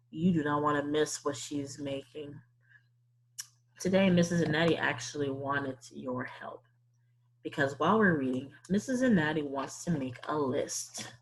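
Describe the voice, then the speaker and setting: A woman with a fairly low, slightly husky voice teaches young children. She is animated and playful, guiding them through a read-aloud and asking them questions as she goes. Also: rough recording. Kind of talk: interactive read-aloud lesson.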